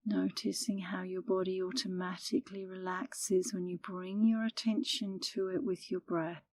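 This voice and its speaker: Woman, middle-aged, lowish voice, guided meditation, calm and unhurried, soothing delivery, close dry recording. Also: dry recording. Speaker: meditation instructor.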